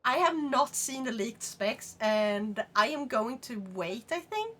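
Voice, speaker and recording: A woman with a Swedish accent, streaming and chatting casually with her live chat in a rambling, animated way, laughing often. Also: a little high, slow pace, a little hiss or hum. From Sweden.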